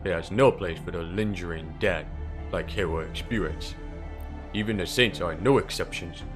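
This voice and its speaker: Man voicing a deep, sinister, courteous priest villain. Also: natural voice. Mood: cool, matter-of-fact. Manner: measured, formal, smoothly condescending. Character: calm, sinister priest villain, politely menacing adult man. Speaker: amateur voice impressionist. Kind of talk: dramatic fan dub character acting. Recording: background music under the voice.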